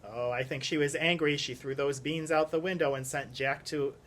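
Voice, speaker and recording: A man's voice: a little high, steady tone, moderate pace, fairly bright tone. A man co-teaching a lesson for young children, asking questions and explaining things conversationally, a little hesitantly. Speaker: grade school co-teacher. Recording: clear background noise.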